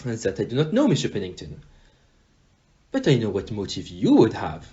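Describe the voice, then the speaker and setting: An actor playing a shrewd, courteous detective in an audio play, with a deliberate, pompous manner. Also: male voice, lowish voice, wildly swooping pitch, mellow, highs cut off. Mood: probing. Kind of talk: amateur audio play acting.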